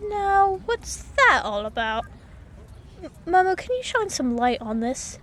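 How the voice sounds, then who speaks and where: Woman acting an excitable, high-voiced, anxious bee-man. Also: measured pace, slight background noise, full character voice. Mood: worried, probing. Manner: hesitant, excitable questioning. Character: anxious, friendly bee-man admin, concerned and eager. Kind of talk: audiobook character acting.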